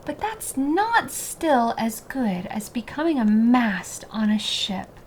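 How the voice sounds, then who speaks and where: Woman as a yearning young fir tree, high-pitched. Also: very animated, takes it slowly, slight background noise, low-quality recording. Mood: envious. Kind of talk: children's storybook character voice.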